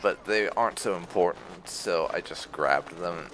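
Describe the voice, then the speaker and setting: A man's voice: deep voice, moderate pace, a bit bright, a little hiss or hum, poor audio. A man giving casual playthrough commentary, rambling a little as he thinks aloud. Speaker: gaming video host.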